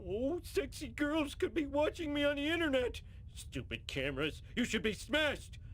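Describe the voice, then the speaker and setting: A man's voice: very high voice, very animated, moderate pace, a bit bright, heavily acted. A man voicing a dim-witted, lazy, childish cartoon dad for a video game, talking in a dopey, blurting way. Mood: excitable blurting.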